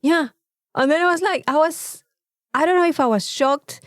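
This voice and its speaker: A woman with a Mexican accent telling an anecdote in a casual, animated podcast chat, swearing freely. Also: high-pitched, normal speed, dry recording, studio quality. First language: Spanish. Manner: animated storytelling with frequent swearing. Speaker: podcast co-host.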